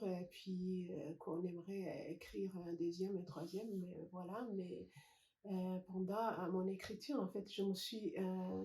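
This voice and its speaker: A middle-aged woman from Rwanda, an author giving a personal testimonial in a hesitant, measured, sincere way. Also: mid-range voice, warm tone. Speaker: author presenting her book. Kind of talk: unscripted personal testimonial to camera. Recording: roomy echo, faint background noise.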